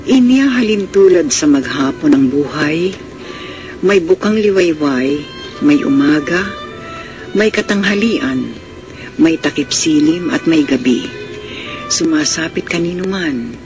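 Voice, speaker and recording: High-voiced Filipino radio drama host, a man. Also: lively intonation. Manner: florid, formal, deliberate phrasing. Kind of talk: formal scripted classic radio drama narration. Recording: radio broadcast with music behind. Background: music.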